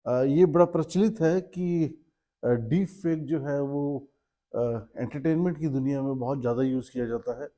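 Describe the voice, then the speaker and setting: A man's voice: mid-range voice, warm tone. A man giving an instructive, explanatory awareness talk, mixing Hindi with English terms and listing his points. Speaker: presenter.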